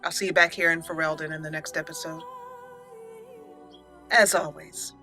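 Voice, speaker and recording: A cheerful woman commentating her own game playthrough, chatty and upbeat, now and then reading out the game's text as a story. Her voice is bright and forceful, with faint game music playing softly behind her. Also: low-pitched, steady tone, normal speed. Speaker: let's play host. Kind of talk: casual gameplay commentary with roleplay narration.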